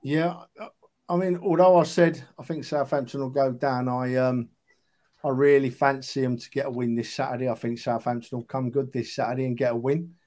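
A man's voice: mid-range voice, fairly even intonation, normal speed, dark tone. A man of about sixty, chatting casually and earnestly as a football fan on a podcast, emphatic and matey. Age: 60s. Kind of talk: casual chat.

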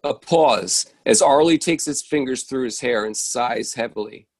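A man's voice: medium pitch, measured pace, a little mellow, dry recording. A man acting as narrator, reading out stage directions as scripted narration.

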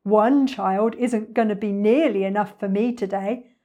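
A woman voicing an enormous, boastful, greedy male crocodile in a children's storybook, gloating and savouring the words with slow menace. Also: mid-range voice, warm tone, muffled recording, lightly acted. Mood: gleeful, boastful. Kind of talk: dramatic children's storybook reading.

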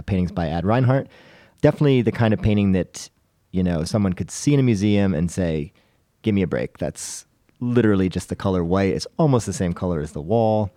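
A man's voice: low voice, warm tone, no echo, clean studio sound. A man hosting a casual panel discussion, talking in a conversational, explanatory way. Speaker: podcast host.

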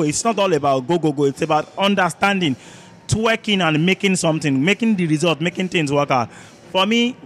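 Male sports panelist, Nigerian accent, fairly high pitch, emphatic and argumentative debater. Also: a bit bright, slight background noise. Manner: argumentative, emphatic, repeats words, rhetorical questions.

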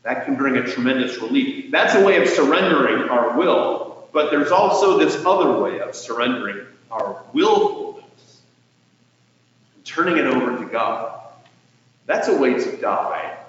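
A man preaching a sermon as a pastor, speaking in a measured, earnest way with emphatic swells and soft endings to phrases. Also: mid-range voice, sing-song. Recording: distant mic in echoey hall, dull, faintly noisy.

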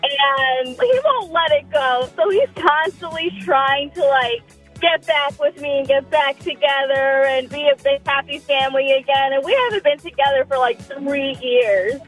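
Female caller, young adult, high pitch, casual personal storytelling over light music. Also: moderate pace, poor audio. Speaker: radio show caller.

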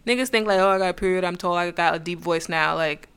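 A woman with a bright, forward voice talks through a casual chat with a friend. She is blunt and emphatic, lands her points hard and swears without thinking about it. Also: fairly low pitch, fast, clear, resonant tone.

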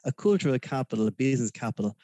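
A man's voice: mid-range voice, lively intonation, rapid-fire, dark tone, no room sound. A man with a Dublin Irish accent as a podcast guest, talking in a rambling, hesitant way with many fillers. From Ireland. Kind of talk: casual interview chat.

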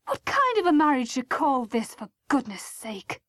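A woman's voice: fairly high pitch, big pitch swings, moderate pace, no echo. A woman voicing a scandalised middle-aged mother in an audiobook, reproachful and full of indignant questions. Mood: indignant scolding.

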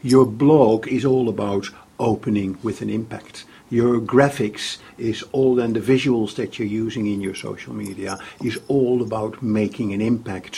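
A man's voice: fairly low pitch, gravelly, mellow, husky. A man hosting a podcast interview, who stammers and restarts his questions and often ends them on tag questions. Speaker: podcast host.

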